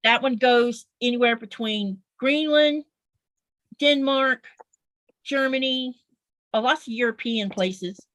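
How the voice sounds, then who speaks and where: A woman's voice: on the high side, slow, pauses often, fairly bright tone, dry recording, low-quality recording. A woman with a Southern US accent, chatting casually on a livestream in an explanatory, folksy way. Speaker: quilter guest on a livestream.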